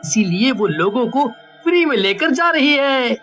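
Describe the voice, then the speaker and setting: A woman voicing boastful, excitable townsmen in a cartoon story. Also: high-pitched, unhurried, faint music behind. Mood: eager.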